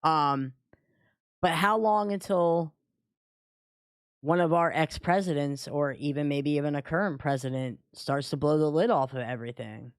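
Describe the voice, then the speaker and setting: A man's voice: a little high, moderate pace, smooth, no echo, studio quality. A man co-hosting a livestream in casual, informal chat. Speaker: co-host.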